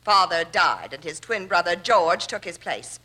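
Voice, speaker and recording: Cold, bitter American murderess, bright voice. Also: female voice, fairly low pitch, lively intonation, measured pace, exaggerated voice. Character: cold, bitter murderess confessing her crimes without remorse. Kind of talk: 1940s radio drama acting. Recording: vintage 1940s radio broadcast.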